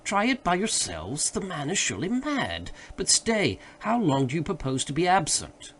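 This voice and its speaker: A man voicing a worried, sensible gentleman friend who remonstrates earnestly and formally in a literary audiobook. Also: fairly high pitch, wildly swooping pitch, moderate pace, a little hiss or hum, pitched above the performer's own voice. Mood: alarmed, pleading. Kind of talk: literary audiobook character dialogue.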